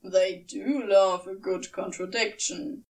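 A woman's voice: mid-range voice, steady tone, takes it slowly, darkish tone, far from the mic, voice pushed down, poor audio. A woman voicing a laid-back, wryly amused male demon in an audiobook, with a casual, teasing manner. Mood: wry amusement. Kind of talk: audiobook character voice.

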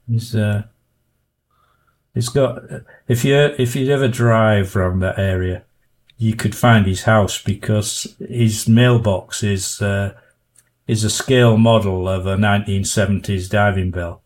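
An older man from northern England with a Yorkshire accent and a fairly low voice. He is a guest on a podcast, looking back casually on his life. His delivery is halting and self-effacing. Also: steady tone, darkish tone. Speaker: guest, diver and author. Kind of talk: casual podcast interview, reminiscing.

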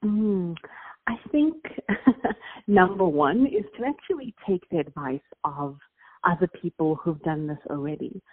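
A woman's voice: on the low side, lively intonation, measured pace, breathy edge. A woman from South Africa with a South African accent, a business founder answering interview questions in an earnest, reflective way. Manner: earnest, reflective, with fillers and restarts. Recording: tinny phone line.

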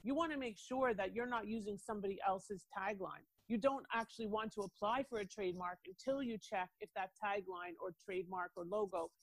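A woman's voice: mid-range voice, fairly quick, few pauses, dry recording, rough recording. A woman with a Canadian accent speaking as an expert guest on a podcast, explaining her work in an assured way and addressing the listener directly. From Toronto, Canada. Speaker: guest, international lawyer and mobility strategist.

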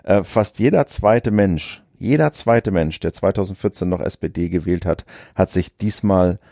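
A podcast co-host with a low, gravelly, mellow voice chats easily about politics and fills his pauses with little sounds. The recording is dry and close, with no room around him. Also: dry recording. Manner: relaxed, conversational, frequent fillers. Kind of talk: casual political podcast talk.

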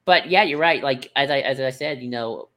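Guy cohosting a podcast, rambly and halting, keeps rewording stuff, mid pitched voice. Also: pushed and bright. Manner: halting, rambling, circling back to rephrase. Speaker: podcast co-host. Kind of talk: casual podcast discussion.

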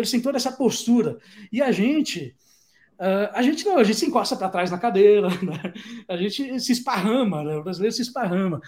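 A Brazilian man with a Brazilian Portuguese accent, a product designer guest telling stories in a relaxed interview, with many fillers and restarts. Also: sits high. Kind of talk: casual conversational interview.

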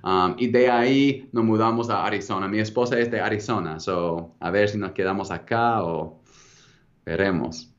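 A man in his thirties with an American English accent, speaking Spanish as a second language as a guest in a casual interview, relaxed and hesitant, with frequent ums and restarts. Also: fairly low pitch, moderate pace, muffled recording. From United States. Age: 30s. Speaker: guest entrepreneur.

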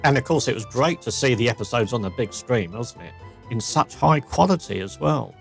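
A man, co-hosting a podcast in relaxed, chatty talk with frequent restarts. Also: mid-range voice, a little fast, vocal fry, faint music behind. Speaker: podcast co-host. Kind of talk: casual chat.

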